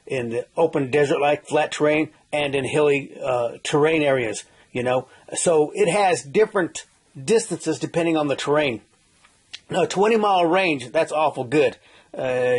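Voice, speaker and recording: A man's voice: a little high, slightly rough. A middle-aged man with a folksy manner, giving an informal survival how-to talk and often checking in with "okay?" as he goes. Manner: folksy, repeats "okay?", many restarts and "uh". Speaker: survival instructor.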